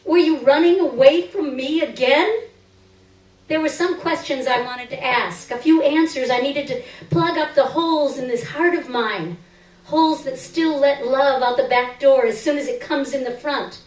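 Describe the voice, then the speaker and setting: A woman performs a literary short story in a fairly high voice, with a theatrical and expressive delivery. The sound is very roomy, picked up some way from the microphone, as in a live stage reading. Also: normal speed, far from the mic. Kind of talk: dramatic first-person literary reading.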